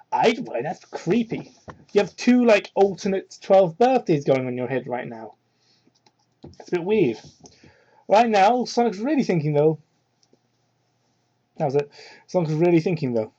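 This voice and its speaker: High-voiced man on a muffled mic. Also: mellow. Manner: sarcastic, incredulous asides with theatrical emphasis. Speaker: host doing a dramatic reading of fan fiction. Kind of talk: mocking read-aloud with ad-libbed commentary. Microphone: cheap microphone. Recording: muffled, low-quality cheap microphone.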